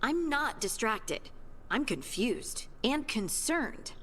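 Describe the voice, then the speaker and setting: A woman's voice: a little high, very animated, slow pace, fairly bright tone, a little hiss or hum, heavily acted. An actress playing an earnest, sincere female adventurer in a fantasy video game. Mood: troubled, confused. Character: earnest female adventurer, unsettled but grateful. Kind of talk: video game character dialogue.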